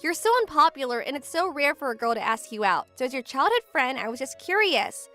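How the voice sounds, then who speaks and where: Casting: woman as tsundere teenage childhood friend, high voice, expressive, huffy, manga dub romcom. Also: fairly quick, faint music behind. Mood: defensive huffy. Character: Yuri, tsundere teenage girl, jealous childhood friend in denial.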